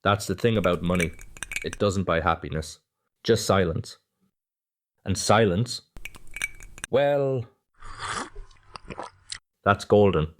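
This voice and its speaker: A man's voice: deep voice, takes it slowly, pauses often, slight background noise. A man playing a smug, wealthy, corrupt real estate mogul in a noir audio drama.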